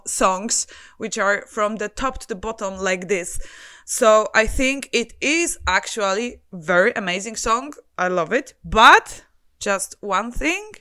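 A woman in her thirties from Poland, speaking with a Polish accent, holding a microphone in a cozy room and reacting to a song with excited exclamations and laughs. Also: mid-range voice, clear, resonant tone. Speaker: YouTuber and music journalist. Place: cozy living room with fairy lights, indoors. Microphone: handheld microphone.

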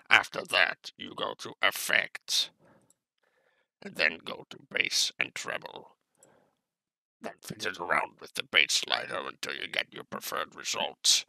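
A menacing space-western gunslinger villain. The voice is low and heavily gravelled, with a slow, deliberate drawl, as if from a film, calmly giving step-by-step instructions. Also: male voice, no room sound, full character voice, low-quality recording. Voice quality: gravelly, creaky. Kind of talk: tutorial narration in character.

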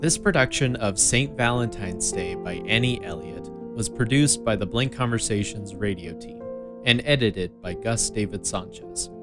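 A man's voice: on the low side, normal speed. Male radio announcer over prominent guitar music. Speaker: credits announcer.

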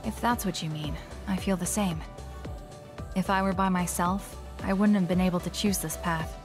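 A woman's voice: on the low side, steady tone, moderate pace, natural voice. An actress playing a cool, blunt young female psychic soldier in a game, speaking in a reserved, matter-of-fact way, with music behind. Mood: calm. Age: young adult. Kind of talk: video game dub acting.

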